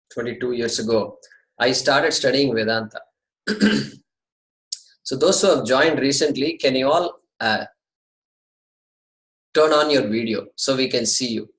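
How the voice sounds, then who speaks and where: A man's voice: mid-range voice, fairly bright tone, roomy sound, rough recording. A man in his fifties with an Indian accent, a native Tamil speaker, courteously opening an online Sanskrit class from his apartment over a video call. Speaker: Sanskrit teacher. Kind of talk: online class orientation talk.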